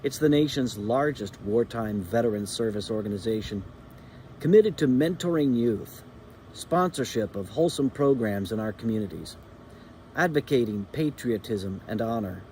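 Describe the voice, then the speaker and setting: Earnest man in his 50s, measured delivery. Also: lowish voice, a little mellow, slight background noise. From Indiana. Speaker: veterans group representative. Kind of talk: introduction and personal reflection.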